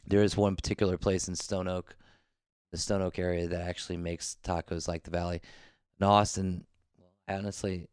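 A man's voice: sits low, flat delivery, normal speed, clean tone, dry recording, studio quality. A man telling laid-back, rambling stories in a casual podcast chat, often restarting his sentences.

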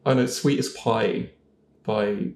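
A man's voice: fairly low pitch, slow pace, a little mellow, a little hiss or hum. A man chatting casually as a panellist, thinking aloud with some hesitation. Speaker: podcast panellist, voice actor. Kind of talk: casual chat. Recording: roomy, echoey voice pickup.

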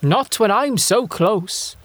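A woman's voice: medium pitch, lively intonation, slow, slight background noise. A woman voicing a villainous mad scientist in a sci-fi audio drama, smug, grandiose and mock-courteous. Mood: gloating menace.

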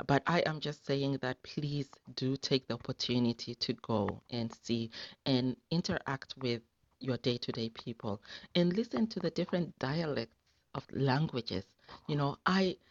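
A woman's voice: a bass voice, a little mellow, dry recording, muffled recording. A woman speaking casually and encouragingly in a group chat, emphatic and repeating words to press her point.

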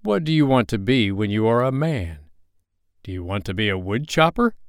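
A man voicing a kind, gentle young king, speaking in a measured, reassuring way in a children's bedtime story. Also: fairly low pitch, lively intonation, clean tone, no echo, clean studio sound. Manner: kindly, measured.

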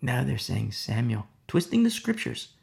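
A man preaching through a Bible passage with a gravelly, fry-edged voice. He talks in a rhetorical way, keeps asking his listeners "you see?", and sometimes sinks into quiet, hushed asides. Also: medium pitch, big pitch swings, normal speed. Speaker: Bible teacher. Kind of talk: verse-by-verse sermon teaching.

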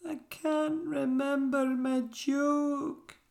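A storybook narrator voicing a small, nervous female toy with a squeaky voice. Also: flat delivery, very slow, gravelly, darkish tone, exaggerated voice. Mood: forlorn. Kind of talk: children's storybook character voice.

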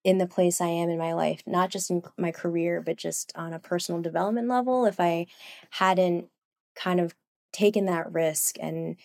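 A woman's voice: on the low side, fairly even intonation, normal speed, creaky, darkish tone. Chatty woman guest with many fillers. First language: Cantonese. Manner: rambling, many fillers, laughs often. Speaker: guest, comics artist. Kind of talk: casual interview chat.

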